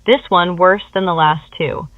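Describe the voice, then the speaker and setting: High-pitched man acting as radio news reporter. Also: fairly even intonation, a little slow, phone-quality sound. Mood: urgent. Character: urgent male breaking news reporter. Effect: radio filter. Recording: thin, band-limited radio news feed.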